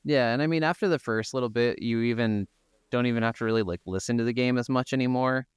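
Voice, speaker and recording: A man's voice: mid-range voice, fast talker, clear, resonant tone, no room sound, studio quality. An American man co-hosting a podcast, chatting casually about games in an informal, rambling way. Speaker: podcast co-host. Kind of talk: casual gaming chat.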